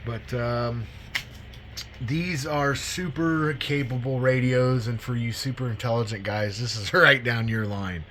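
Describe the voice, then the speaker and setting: A man's voice: mid-range voice, steady tone, a little slow, bright, forceful tone, a little hiss or hum. A man giving a casual, unscripted product walkthrough in a folksy, self-deprecating, rambling way. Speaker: radio hobbyist demonstrating a used CB radio.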